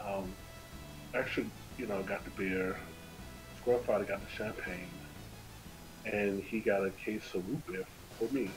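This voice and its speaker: A man livestreaming a game and casually telling stories in an animated, slangy way. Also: fairly low pitch, a little slow, long pauses, low-quality recording. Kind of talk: casual chat over gameplay. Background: video game music.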